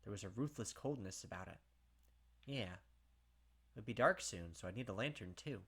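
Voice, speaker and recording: A young man reading a visual novel aloud in a dramatic first-person voice, breaking off for excited asides and commentary as a let's play gamer. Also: fairly low pitch, normal speed, breathy edge.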